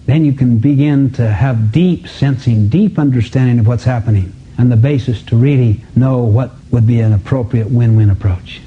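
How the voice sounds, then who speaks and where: A man's voice: medium pitch, normal speed, hardly any pauses, breathy edge. A man speaking as a motivational seminar teacher, emphatic and full of rhetorical questions. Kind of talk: live self-help seminar talk, teaching with acted-out dialogues. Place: seminar room before an audience. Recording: dull, muffled lo-fi audio.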